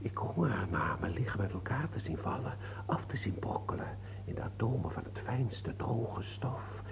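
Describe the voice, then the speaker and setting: A man acting in a radio play as an old imprisoned bishop, mocking and melancholic, declamatory and given to breathy murmurs. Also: lowish voice, expressive, moderate pace, in a whisper. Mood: rapturous, morbid. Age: older. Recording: dull old radio recording, hiss and hum.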